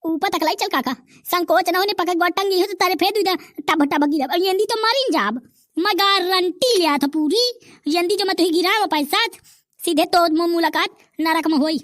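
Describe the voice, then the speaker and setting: A woman voicing a brash, quick-tempered village character in a comedy cartoon, with a Bundeli accent. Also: very high-pitched, fairly bright tone, dry recording, clean studio sound.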